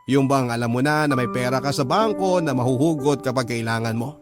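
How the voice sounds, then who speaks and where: A man's voice: mid-range voice, fairly quick, music in the background, close to an everyday voice. A man playing an earnest, hardworking storyteller who narrates his own life politely and reflectively in a radio drama. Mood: earnest, proud.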